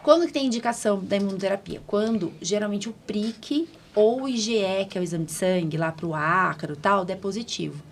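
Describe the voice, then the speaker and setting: A woman's voice: mid-range voice, fairly quick, hardly any pauses, slight background noise. A Brazilian woman hosting a medical podcast as an allergist, chatting informally and often checking for agreement with tag questions. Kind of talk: casual interview chat.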